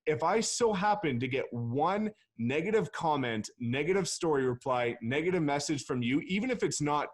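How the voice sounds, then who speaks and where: A man's voice: on the high side, expressive, moderate pace, few pauses. A man, a real estate agent guest, talking bluntly and emphatically in a casual interview and swearing freely.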